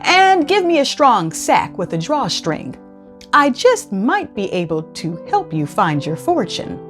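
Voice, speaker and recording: A woman's voice: fairly high pitch, wildly swooping pitch, unhurried, soft background music, voice pushed up. A woman voicing a clever, confident male storybook cat with a courtly, persuasive manner.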